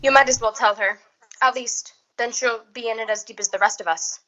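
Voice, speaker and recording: A woman's voice: a little high, steady tone, fairly quick, sharp tone, dull audio. A young woman acting a brazen, blunt, scheming woman in a 1940s-style radio suspense play. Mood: defiant. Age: young adult. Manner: blunt, clipped. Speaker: high school theatre student actor.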